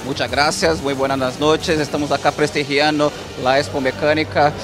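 A man's voice: a little high, flat delivery, moderate pace. A man with a Brazilian accent presenting products as an interview guest at a trade expo. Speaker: export manager, interview guest. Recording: music under the voice. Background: background music.

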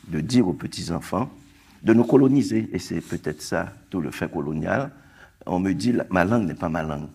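A man's voice: sits low, creaky, a little mellow, slightly rough. A man in his sixties from Haiti, whose first language is Creole, speaking passionately as a poet on a round-table panel on a theatre stage. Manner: impassioned, gesturing, building to emphatic peaks.

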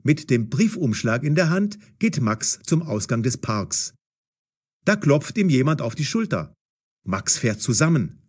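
Slow, animated male story narrator. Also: medium pitch. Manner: deliberate, clearly enunciated, expressive. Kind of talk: scripted story narration for language learners.

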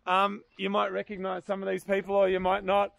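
An Australian man hosts a casual vlog out in the bush. His voice sits high for a man, with plenty of gravelly creak. He speaks to a handheld camera in the open air. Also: completely flat delivery, a little fast, a bit bright, no room sound. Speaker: vlogger hosting an outdoor rescue video. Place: outdoors in bushland. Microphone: handheld camera at arm's length.

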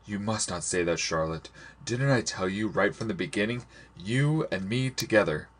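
A man plays an earnest old sailor in a storybook, with a fairly low voice. He is patient but insistent, pleading in measured, formal phrases. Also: lively intonation. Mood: gentle, concerned.

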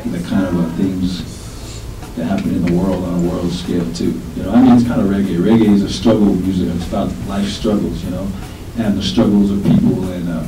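A man from New Zealand, a reggae band singer in his thirties, answering panel questions in a relaxed, rambling way with many fillers, speaking into a table mic in a meeting room. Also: deep voice, reverberant, recorded from a distance, poor audio.

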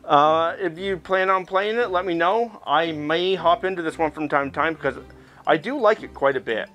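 A man with a fairly high voice gives casual commentary while actively playing a VR game. He chats away and thinks aloud like a host talking to his viewers, with music playing behind him. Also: normal speed, a bit bright. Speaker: gaming video host. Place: indoors, playing in a VR headset in front of a camera.

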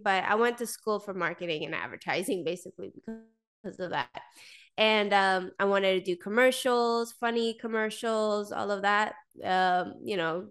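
A woman's voice: medium pitch, fairly bright tone, clean tone. A woman in her thirties, raised in Sweden, talking casually as a podcast guest over a video call, with lots of fillers and a desk microphone close by. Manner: chatty, many fillers and "like". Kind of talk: casual interview talk. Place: small indoor room, video call. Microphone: desk microphone in frame.

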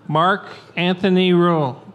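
A man formally reading out graduate names and degrees in measured, clear tones at a podium microphone in a large outdoor tent. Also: high voice, steady tone. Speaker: name reader at a graduation. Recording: crowded outdoor tent, loud background noise. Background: crowd noise and applause.

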